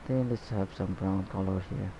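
A man giving a painting tutorial as he works, talking in a relaxed, unhurried way and often saying "okay" and "guys". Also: deep voice, noisy background. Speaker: painting instructor. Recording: slightly dull, lo-fi recording.

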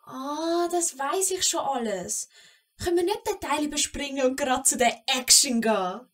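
A woman voicing a young, impatient kobold girl with a Swiss German accent in a children's audio play, childlike and excitable. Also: high voice, moderate pace, pitched above the performer's own voice. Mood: eager, impatient.

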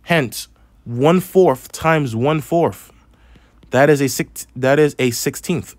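A man's voice: on the high side, takes it slowly, a little mellow, no echo. A man with a slight lisp teaching a math lesson over a tablet screen, explaining patiently step by step. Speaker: math instructor.

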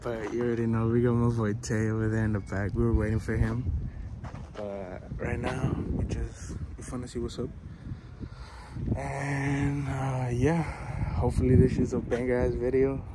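A man's voice: mid-range voice, little pitch movement, normal speed. A young man filming a casual vlog outside a street event, talking in a laid-back, slangy way with plenty of swearing. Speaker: vlogger. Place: outdoors at a crowded street event. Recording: loud background noise.

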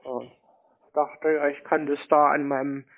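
A man's voice: on the high side, flat delivery, vocal fry. A man playing a naive, eager, rambling provincial German fellow on a prank phone call. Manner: naive, rambling, feigned slowness. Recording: narrow, tinny phone-like sound.

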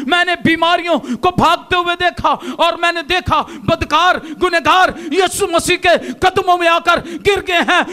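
High-pitched man preaching with fervor. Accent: Pakistani. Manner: impassioned preaching, shouting at peaks, raising arms. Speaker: pastor. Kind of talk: sermon. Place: large indoor hall with stage and pulpit. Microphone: handheld microphone.

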